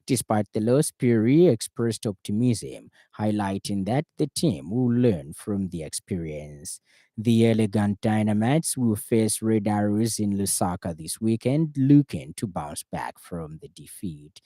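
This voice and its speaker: A man's voice: lowish voice, measured pace, dark tone, dry recording. A man with a Zambian accent reading a scripted news bulletin on the radio from a studio.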